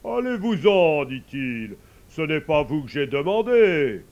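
Old pope, stern, loud, grand manner, a man playing him, storybook reading. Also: sits high, animated, slow, dull audio, pitched above the performer's own voice. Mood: stern grandeur.

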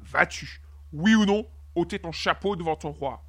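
A woman's voice: lowish voice, moderate pace, fairly bright tone, pitched below the performer's own voice. A woman voicing a haughty, furious king in a storybook, imperious and indignant as she makes demands. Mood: haughty, impatient. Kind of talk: storybook character acting.

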